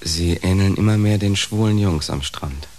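A man's voice: low voice, moderate pace, darkish tone. An actor playing a young, devoted but resigned companion in a radio drama, wry and wistful in his delivery. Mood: dryly teasing. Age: young adult.

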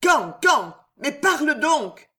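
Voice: big pitch swings, unhurried, fairly bright tone. A reader voicing a furious, icy queen. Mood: demanding. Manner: raises pitch and volume, snapping and roaring in anger. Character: imperious, icy, vengeful queen. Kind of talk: audiobook character reading.

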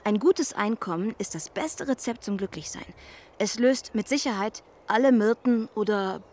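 A woman's voice: medium pitch, animated, moderate pace, darkish tone, natural voice. Arch, teasing young lady played by an actress. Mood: arch, worldly. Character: witty, worldly, ambitious young lady, teasing and proud. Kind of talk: German radio drama acting.

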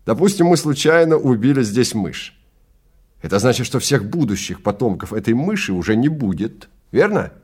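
A man acting a stern, emphatic safari guide, swooping pitch. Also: very animated, normal speed, a little mellow, slightly rough. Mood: insistent. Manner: emphatic, lecturing, commanding. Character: stern, contemptuous male time-travel safari guide. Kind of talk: Russian audio drama acting.

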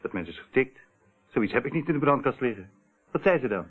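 A man's voice: mid-range voice, very animated, fairly quick, breathy edge. An actor playing a smug, slippery criminal who feigns innocence in a radio play, theatrical in his delivery. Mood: indignant. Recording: tinny, narrow-band old radio recording.

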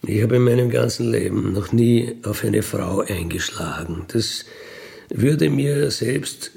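A middle-aged or older man with a gravelly voice, telling personal stories casually and emphatically in colloquial speech, often checking for agreement. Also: fairly low pitch, slow pace, a little mellow. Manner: colloquial, emphatic, seeks agreement with tag questions. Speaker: interviewee, career crook telling his life story.

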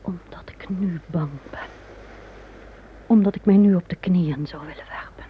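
A woman's voice: lowish voice, normal speed, natural voice. A woman playing a proud queen gripped by fearful visions, speaking in an old radio drama. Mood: frightened whisper. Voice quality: hushed, whispering, frightened. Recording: tinny old radio recording, faint hiss.

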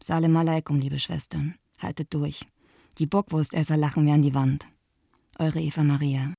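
A woman's voice: low-pitched, level tone, moderate pace, gravelly, warm tone, breathy edge, no room sound, clean studio sound, full character voice. An actress in a radio play, voicing a wistful, wry woman reading out her letters to friends.

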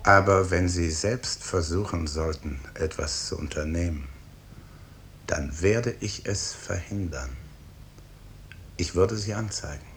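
An actor playing a stern, brooding, guilt-ridden professor and clinic chief in a 1950s German radio drama, speaking with emphatic authority. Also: male voice, low voice, slow pace, fairly bright tone, full character voice. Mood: quiet threat. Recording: early 1950s radio studio recording.

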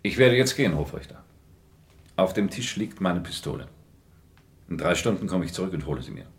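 A man playing a grave older army major in a German radio crime play, formal, measured and authoritative. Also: low voice, very animated, a little hiss or hum. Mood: grave, solemn. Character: grave older male army major, stern, honour-bound.

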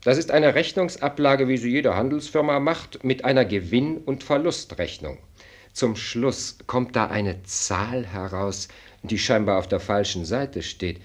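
An actor playing a dry, blunt minister of state in a German radio play, speaking formally and pointedly with an ironic, lecturing air. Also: male voice, medium pitch, unhurried, natural voice.

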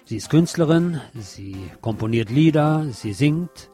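A man's voice: on the high side, expressive, measured pace, mellow, soft background music. A man giving a personal, opinionated film review in a conversational radio commentary style.

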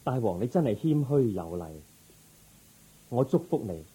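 An actor playing a gentle, composed prince turned humble monk in a Cantonese radio drama. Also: male voice, medium pitch, very animated, moderate pace, husky, poor audio. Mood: gentle, courteous. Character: serene young male prince turned ascetic monk.

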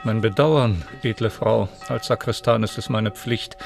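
An actor playing a pompous, condescending medieval sacristan monk with formal speech in a radio drama. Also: male voice, fairly low pitch, measured pace, creaky, over music. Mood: haughty, severe.

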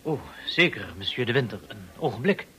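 Hotel waiter, mid-pitched man, formal, deferential, obsequious, radio drama. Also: animated, brisk, pushed and bright. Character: deferential hotel waiter with French forms of address.

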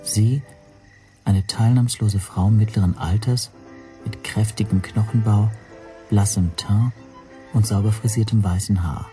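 An actor playing an observant, nervous hotel guest who narrates his own story in a radio drama, measured and precise. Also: fairly low pitch, fairly even intonation, warm tone, soft background music, lightly acted. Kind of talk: scripted first-person radio drama narration.

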